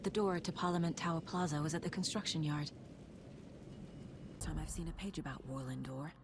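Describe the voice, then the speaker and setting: An actress playing a resolute investigator trapped in a nightmare in a video game, thinking aloud with focus. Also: female voice, sits low, brisk. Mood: focused, searching. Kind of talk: naturalistic video game acting. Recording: loud ambient noise behind voice.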